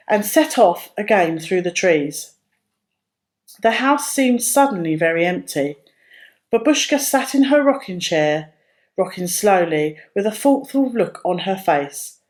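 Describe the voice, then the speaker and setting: A woman reading a children's storybook aloud as narrator, in a careful, expressive way. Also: mid-range voice.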